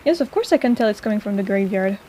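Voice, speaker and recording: A woman's voice: mid-range voice, expressive, fast talker, mellow, slight background noise, close to an everyday voice. A woman playing a sarcastic but caring apothecary in an intimate personal-attention audio roleplay, with a playful, chiding manner. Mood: sardonic, teasing.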